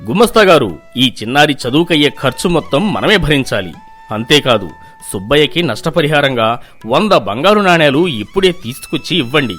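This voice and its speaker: A man's voice: on the high side, very animated, normal speed, a bit bright, faint music behind. A man playing a humbled, generous village landlord in a moral story, commanding and earnest.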